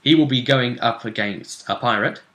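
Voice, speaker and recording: A man speaking as game master, casually narrating a tabletop role-playing game in a halting, deliberate way. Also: mid-range voice, a bit bright, reverberant.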